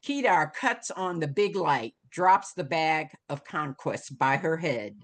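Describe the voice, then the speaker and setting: A woman with a lowish voice narrates a screenplay's stage directions at an unhurried pace. Her pitch moves expressively as she delivers scene headings and action in dramatic storytelling style. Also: animated.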